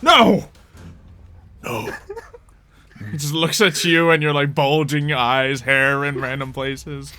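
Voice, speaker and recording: A man's voice: medium pitch, flat delivery, measured pace, hardly any pauses, sharp tone, smooth. A man acting as game master, casually narrating a tabletop roleplay game and explaining its rules conversationally.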